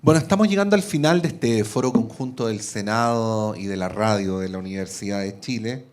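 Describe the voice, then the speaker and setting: A man's voice: on the low side, fairly quick, a little mellow. A man moderating a radio forum, conversational and lightly joking as he wraps up.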